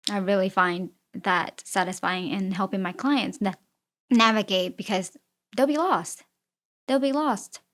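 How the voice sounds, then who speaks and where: A woman who is a native Chinese speaker, answering interview questions as a real estate agent in an earnest, halting way. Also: mid-range voice, fairly bright tone. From immigrated with a Chinese-speaking family. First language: Chinese (Mandarin and Fujianese).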